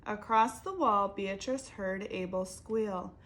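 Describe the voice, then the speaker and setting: A woman's voice: medium pitch, takes it slowly, fairly bright tone. A woman reading a picture book aloud expressively, stopping to ask her young listeners questions. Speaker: reader for children.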